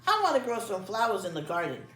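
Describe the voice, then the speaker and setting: Curious, excitable storybook boy, played by a woman, drawn-out words, roomy and off-mic. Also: mid-range voice. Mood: eager. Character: eager, curious young boy gardener. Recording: echoey room, distant mic, music behind.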